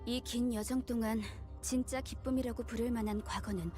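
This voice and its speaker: Brooding, fast-speaking young woman played by an actress. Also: on the high side, fairly even intonation, lightly acted. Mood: wistful. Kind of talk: video game voice acting. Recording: game audio with music behind. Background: game music.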